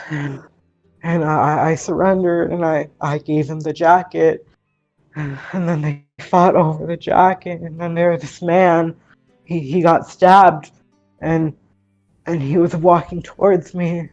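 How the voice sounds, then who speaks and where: A man's voice: a little high, fairly even intonation, slow pace, a little mellow, dull audio, soft background music. A man voicing a distraught, guilt-ridden teenage orphan girl in a tabletop game, stammering and repeating words. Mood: nervous, recounting.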